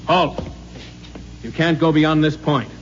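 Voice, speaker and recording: A man's voice: a little high, takes it slowly. An American actor playing a stolid, matter-of-fact male checkpoint guard in a 1950s radio drama. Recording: muffled noisy 1950s radio, long reverb.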